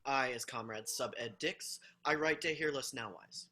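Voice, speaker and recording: Man reading stern zealot assistant, bright tense voice, clipped jargon. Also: medium pitch, moderate pace, lightly acted. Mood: dogmatic. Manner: clipped invented jargon, stiff and dogmatic. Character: rigid patriotic teacher's assistant, stern zealot. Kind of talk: amateur play reading.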